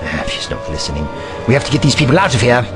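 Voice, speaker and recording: Guy doing a fretful eccentric time traveller, dramatic, super animated, audio drama with music under. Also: mid-range voice, fast talker, close to an everyday voice. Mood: worried.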